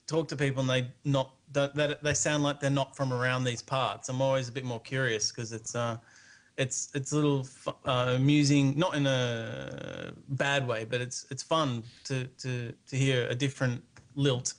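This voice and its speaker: A man's voice: medium pitch, level tone, moderate pace. A man with an Australian accent, a guest on a live internet show, talking in a casual, rambling, good-natured and hesitant way. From Melbourne, Australia. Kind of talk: casual unscripted chat.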